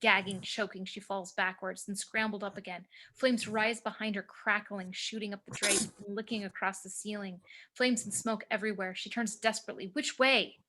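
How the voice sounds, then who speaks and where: A woman's voice: mid-range voice, steady tone, normal speed, sharp tone, slight background noise, rough recording. A woman reading screenplay action as narrator, with dramatic, clipped phrasing, recorded over a home video call.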